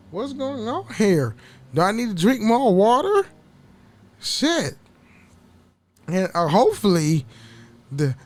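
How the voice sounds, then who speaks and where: A man with a notably high voice talks casually as a solo podcast host. He rambles, doubles back on words and stammers through sentences, dropping swear words freely as he goes. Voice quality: voice prone to cracking.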